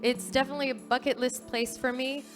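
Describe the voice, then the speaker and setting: A woman's voice: sits high, level tone, quick pace, music in the background. A fifteen-year-old girl from New Caledonia giving an earnest prepared talk at a dive expo, with fillers and small self-corrections. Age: teenager, fifteen. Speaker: youth speaker at a dive expo.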